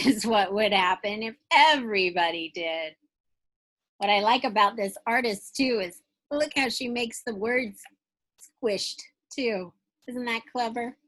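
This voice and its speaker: A woman's voice: fairly high pitch, a bit bright. A woman teacher reading a picture book aloud to young children, stretching out phrases expressively and putting questions straight to her listeners.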